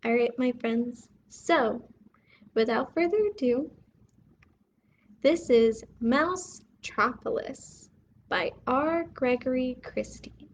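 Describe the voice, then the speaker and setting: Slow, high-voiced woman reading bedtime stories. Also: a little hiss or hum. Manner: expressive, addresses child listeners with questions. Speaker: children's story reader and host. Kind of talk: bedtime story read-aloud for young children.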